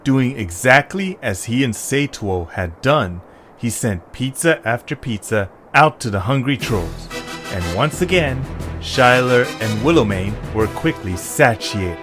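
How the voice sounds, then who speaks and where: A man reading scripted narration for an audio story as its narrator. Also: mid-range voice.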